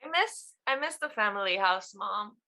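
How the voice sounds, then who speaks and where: A woman's voice: mid-range voice, expressive, normal speed, sharp tone. A woman playing a sarcastic, exasperated grown daughter, dry and incredulous, at a screenplay table read.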